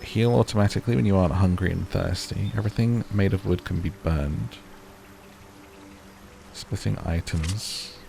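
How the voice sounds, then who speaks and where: A man's voice: low voice, normal speed, gravelly, dark tone, slight background noise. A man doing casual gaming commentary, chatting and musing to himself and to viewers as he plays and reads out on-screen text. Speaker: gaming video host.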